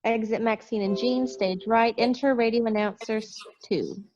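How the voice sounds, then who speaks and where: A woman's voice: on the high side, steady tone, moderate pace, a little mellow. A woman reading stage directions as scripted narration in a play table read over a video call. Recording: muffled video-call audio.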